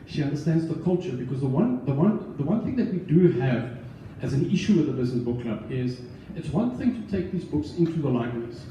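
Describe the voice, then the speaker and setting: A man who founded a book club and hosts it, addressing an audience in an earnest, measured way, with restarts. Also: a little high, lively intonation, dark tone, a little hiss or hum. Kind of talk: speech to an audience. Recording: echoey room, voice from far off.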